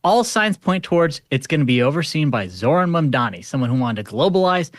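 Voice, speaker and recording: A man's voice: a little high, very animated, fairly quick, no room sound, clean studio sound. An American man giving opinionated political panel talk in a sardonic way, repeating phrases for emphasis. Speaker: podcast host appearing as panel guest.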